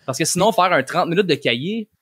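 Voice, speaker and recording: A man's voice: fairly high pitch, lively intonation, a little fast, a bit bright, breathy edge, dry recording, studio quality. A man with a Québécois French accent, a guest language coach explaining things casually and in slangy, informal words. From Quebec, Canada.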